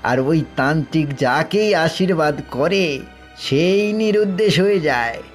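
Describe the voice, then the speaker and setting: An actor playing an old, grieving, suspicious village man in an audio horror drama, halting and insistent, repeating phrases for emphasis. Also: fairly high pitch, animated, soft background music. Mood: grave, suspicious. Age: older.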